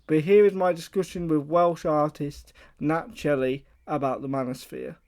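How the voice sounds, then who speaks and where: Chatty radio host guy, kinda high voice, upbeat intro. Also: steady tone, normal speed, a little mellow. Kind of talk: presenter introducing a guest.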